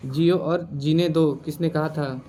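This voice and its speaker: A man's voice: on the high side, fairly even intonation, measured pace, dark tone, slight background noise. A man teaching as a quiz host, reading out questions and answers in an instructional way and repeating the answers. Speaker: quiz teacher for exam preparation.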